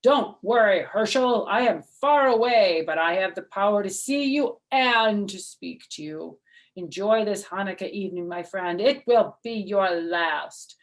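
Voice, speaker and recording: A woman voicing a mighty, menacing goblin king in a storybook, loud, deliberate and taunting. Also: medium pitch, lively intonation, low-quality recording.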